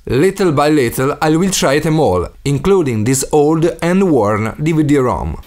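Lively Italian-accented man narrating. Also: on the high side, unhurried, faint music behind. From Italy. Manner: non-native English phrasing. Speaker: DIY video maker and host. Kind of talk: scripted tutorial voice-over.

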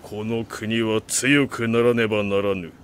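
An actor playing a proud, iron-willed warlord in an audio drama, speaking in solemn, imperious, archaic grand speech. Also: male voice, fairly low pitch, little pitch movement, unhurried, a little hiss or hum, close to an everyday voice. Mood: resolute. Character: proud, iron-willed male warlord bent on remaking the nation. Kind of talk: Japanese audio drama acting.

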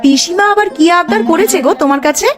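A woman voicing a brisk, practical and caring young housewife in a cartoon, with expressive delivery. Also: extremely high pitch, over loud music. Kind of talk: Bengali cartoon voice acting.

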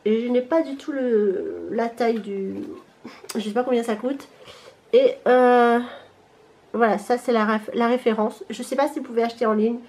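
A woman's voice: fairly high pitch, normal speed, a little mellow. A woman in her thirties from Bordeaux with a blocked nose, chatting casually to camera at home about her gifts, rambling with many fillers. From Bordeaux, France. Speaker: vlogger. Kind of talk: casual haul chat to camera. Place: home room with shelves and Christmas decor, indoors.

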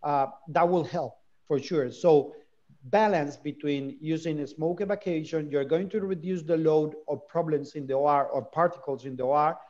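A man moderating a medical panel discussion over a video call, talking in a relaxed, quick way and steering the questions. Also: fairly high pitch. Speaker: webinar moderator. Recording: dull lo-fi video call audio.

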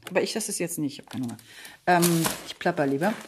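A woman's voice: lowish voice, animated, quick pace, a little hiss or hum. A middle-aged woman from Berlin chatting casually as a podcast guest, often interrupting her own sentences. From Berlin, Germany. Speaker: podcast guest, dubbing performer.

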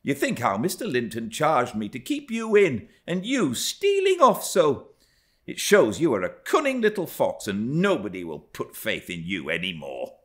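A man's voice: mid-range voice, wildly swooping pitch, normal speed, pitched above the performer's own voice. A man voicing a stern but fond woman housekeeper, emphatic and reproachful. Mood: stern scolding.

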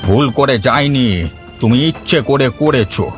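Older village gentleman, acted by a man, weighty regular customer in a cartoon drama, mid-pitched, light music underneath. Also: moderate pace, lightly acted. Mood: indignant. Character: indignant elderly village man, wealthy patron of eateries. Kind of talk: Bengali cartoon story dialogue.

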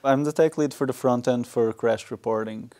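A man's voice: medium pitch, normal speed, warm tone. A man in his thirties with a Portuguese accent, answering interview questions conversationally in a studio. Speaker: interview guest, software tech lead. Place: small indoor talk show studio.

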